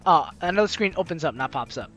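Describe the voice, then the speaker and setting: A woman's voice: sits low, lively intonation, quick pace, fairly bright tone. A woman doing a playful, slangy let's play, reading dialogue aloud and commenting casually. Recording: faint music behind the voice.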